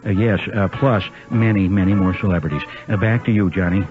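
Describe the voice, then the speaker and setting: A jovial talk-show sidekick played by a man pitches in a comedy radio ad. The voice sits fairly low over a prominent music bed, with muffled fidelity. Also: fairly even intonation, brisk, lightly acted. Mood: jovial pitchman. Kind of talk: scripted comedy radio commercial.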